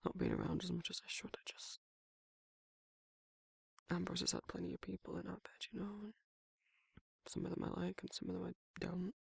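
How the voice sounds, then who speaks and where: Guy doing a protective werewolf bf for asmr, super raspy n breathy, soft teasing murmur, really close dry sound. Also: sits high, little pitch movement, fairly quick, long pauses, no room sound, heavily acted. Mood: apologetic, tender. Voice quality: husky, breathy, gravelly, often dropping to a whisper. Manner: casual, colloquial, soft-spoken intimate murmur with soft chuckles. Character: protective, teasing, affectionate male werewolf boyfriend, down-to-earth. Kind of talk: intimate ASMR boyfriend roleplay.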